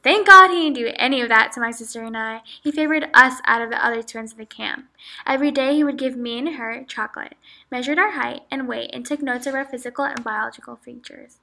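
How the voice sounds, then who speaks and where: Young woman playing a bright-voiced camp survivor. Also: fairly high pitch, fast talker, gravelly. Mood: recounting. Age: young adult. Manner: recites a scripted account, reading-like. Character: woman camp survivor and twin, grave and matter-of-fact. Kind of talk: amateur mock interview role-play.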